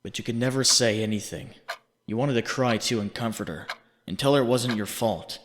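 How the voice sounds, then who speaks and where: A man playing a haunting inner voice, narrating in the second person with deliberate, accusing, dramatic delivery. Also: mid-range voice, lightly acted. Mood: somber, accusing. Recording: long reverb, faint background noise.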